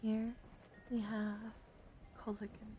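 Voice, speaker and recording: A woman hosting an ASMR livestream, speaking softly and breathily into a close mic, often slipping into whispers, in a playful, cutesy, scattered way. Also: medium pitch, monotone, takes it slowly, a little hiss or hum. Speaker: livestream host. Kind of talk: ASMR livestream, whispering and soft talk to chat.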